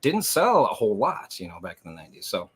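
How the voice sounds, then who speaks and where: A man hosting a livestream, explaining things casually in a meandering way with lots of hesitant filler pauses. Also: lowish voice, huge pitch swings, breathy edge. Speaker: livestream host and reseller.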